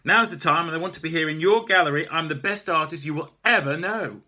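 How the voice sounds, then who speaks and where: A man's voice: mid-range voice, expressive, normal speed, bright, forceful tone, breathy edge, heavily acted. A man voicing a volatile, deranged young woman artist who threatens and taunts. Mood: furious.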